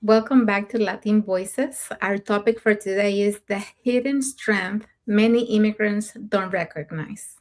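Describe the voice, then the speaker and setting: An older woman from Mexico, a panelist and grandmother, announcing the topic in a clear way. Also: mid-range voice, steady tone, measured pace.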